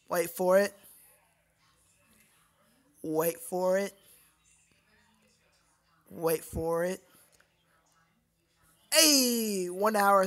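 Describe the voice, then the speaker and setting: Casual livestream host, medium-pitched voice, halting and repetitive delivery, talking directly to chat. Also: a bit bright. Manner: halting, repetitive, addresses viewers as sir.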